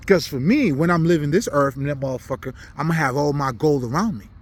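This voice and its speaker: A man's voice: a little high, fairly quick. A man from Haiti, not a native English speaker, giving an unscripted, preaching monologue in blunt, profane slang with emphatic repetition. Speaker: spiritual commentator.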